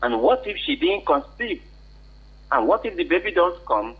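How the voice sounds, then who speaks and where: Husky Nigerian man on a tinny phone line. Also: high voice, big pitch swings, normal speed. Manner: insistent, questioning, repeats points for emphasis. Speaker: call-in contributor. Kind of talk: unscripted advice on air.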